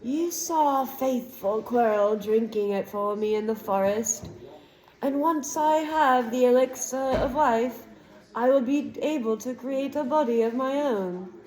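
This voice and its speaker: A cold, hissing dark wizard whose high, drawn-out words crawl forward at an unhurried, threatening pace, as a woman reading aloud puts him on. Every line lands as a quiet, deliberate threat. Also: lightly acted, low-quality recording. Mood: menacing. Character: cold, menacing dark wizard's face, male.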